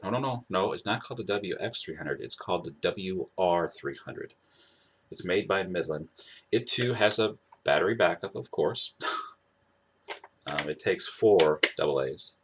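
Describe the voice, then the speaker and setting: A man with a very deep voice talks casually and off the cuff, as if showing off gear at home. He hesitates, doubles back and repeats himself, with some noise behind him. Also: lively intonation, a little slow. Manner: hesitant, rambling, self-correcting and repetitive. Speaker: vlogger showing his weather radios. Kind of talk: casual unscripted show-and-tell. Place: indoors at home. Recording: clearly noisy home recording.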